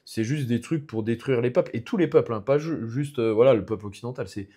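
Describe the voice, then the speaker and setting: A man's voice: medium pitch, fast talker, dark tone, slightly rough. A young man from France, an MMA fighter as podcast guest, talking in a rambling way full of restarts and fillers. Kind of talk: casual podcast conversation.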